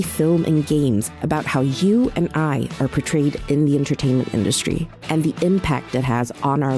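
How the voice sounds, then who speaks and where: A woman's voice: deep voice, dark tone. A woman from Sweden hosting a podcast, reading a scripted intro and asking curious, friendly questions. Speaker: podcast host. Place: podcast studio.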